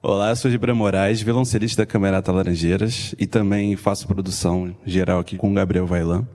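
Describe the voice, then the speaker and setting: Very deep, mellow Brazilian man. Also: fairly even intonation, fairly quick, in a large hall. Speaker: cellist and producer, guest. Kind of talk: self-introduction in radio interview.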